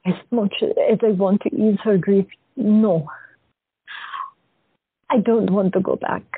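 A woman voicing a gentle young seer. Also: medium pitch, fairly even intonation, takes it slowly, husky, no room sound, full character voice. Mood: earnest. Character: young woman patient with visions, gentle, calm. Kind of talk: audio drama acting. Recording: dry, thin phone-like sound.

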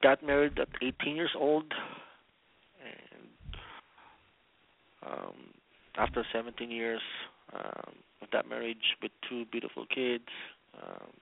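A man from the Philippines calling in to a radio show, halting and full of fillers. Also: medium pitch, sing-song, creaky. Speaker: caller. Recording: tinny phone line.

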